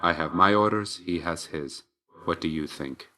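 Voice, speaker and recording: A man voicing a stern, reserved and dutiful god of death in a video game, speaking in a formal, measured way. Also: low voice, bright, forceful tone. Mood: wry, stern. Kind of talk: video game character voice lines.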